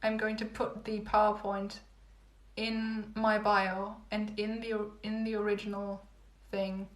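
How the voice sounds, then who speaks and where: A young woman chatting casually with livestream viewers, rambling and repeating herself with little laughs, her voice going hoarse. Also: mid-range voice, monotone, unhurried. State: losing her voice. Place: home room. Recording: echoey room, phone livestream.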